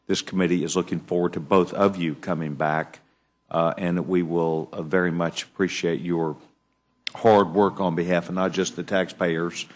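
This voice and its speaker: A man chairing a congressional committee hearing, formal with halting restarts. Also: low-pitched, level tone. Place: hearing room.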